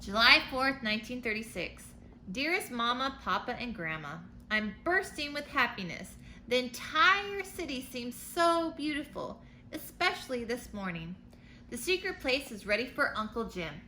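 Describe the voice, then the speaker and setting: A woman's voice: fairly high pitch, big pitch swings, normal speed, pushed and bright. A woman reading a storybook in the part of a cheerful, eager young girl writing letters home. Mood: bursting with happiness. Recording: noticeable background noise.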